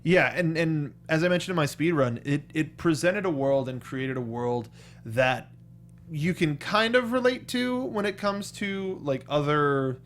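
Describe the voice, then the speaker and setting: Higher-pitched American man chatting as co-host. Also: animated, a little slow, fairly bright tone. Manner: enthusiastic, slangy fan talk. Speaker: co-host of a film review show. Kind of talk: casual panel chat and review.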